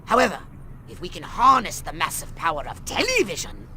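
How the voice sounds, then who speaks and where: A man's voice: fairly high pitch, wildly swooping pitch, a little fast, sharp tone, a little hiss or hum, heavily acted. A man voicing a bossy, scornful alien mastermind with precise, imperious delivery in a video game. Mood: scheming. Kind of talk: video game cutscene acting.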